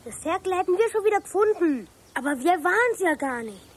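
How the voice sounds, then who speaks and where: A woman's voice: extremely high pitch, very animated, brisk, slight background noise. A woman voicing a young farm boy in a radio play, pleading. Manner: whiny pleading.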